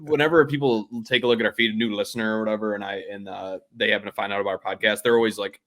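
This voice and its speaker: A man's voice: on the low side, steady tone, racing, fairly bright tone. A young man co-hosting a podcast in casual chat. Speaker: podcast co-host.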